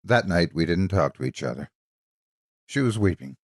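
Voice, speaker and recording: Deep-voiced man narrating, expressive and measured. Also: slightly rough, no room sound, clean studio sound. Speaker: story narrator. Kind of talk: scripted dramatic story narration.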